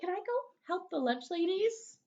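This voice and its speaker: Woman doing a frustrated, high-pitched little schoolboy. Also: expressive, normal speed, a little mellow, highs cut off. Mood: pleading. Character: frustrated young schoolboy with writer's block. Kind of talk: storybook read-aloud character voice.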